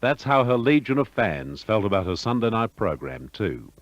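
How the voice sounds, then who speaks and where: A man giving scripted documentary narration in a smooth, measured broadcaster's delivery. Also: lowish voice, big pitch swings, no room sound. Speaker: narrator of a radio history. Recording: dull lo-fi broadcast audio.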